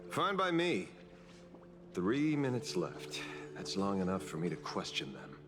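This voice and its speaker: An actor playing a cynical, menacing interrogator in a video game, with a measured, taunting, dramatic delivery. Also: male voice, deep voice, wildly swooping pitch, music in the background, full character voice. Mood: cold, probing. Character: menacing, cynical male interrogator mocking a hero.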